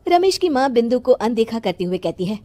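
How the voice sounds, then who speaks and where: A woman's voice: on the high side, sing-song, fairly quick, mellow. A woman narrating a scripted moral story as a storyteller.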